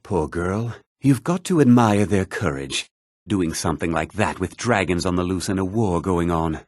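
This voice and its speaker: An actor voicing a haughty, gossipy, well-to-do townsman in a fantasy video game, speaking in a measured, theatrical way with a husky voice. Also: sits low, lively intonation, dry recording, clean studio sound. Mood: polite, wry. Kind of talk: scripted fantasy game character dialogue.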